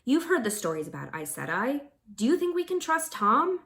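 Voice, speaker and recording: A woman's voice: sits high, sing-song, moderate pace, creaky, fairly bright tone, voice pushed up, exaggerated voice. A woman voicing a glum, anxious young village man in a fantasy story, earnest and weary in manner.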